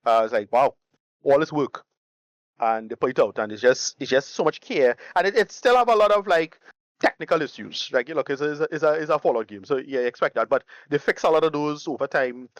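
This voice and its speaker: Fast-talking man on dull audio. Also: a little high, dry recording. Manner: halting, repeats words and phrases. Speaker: podcast panelist. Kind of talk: casual panel chat.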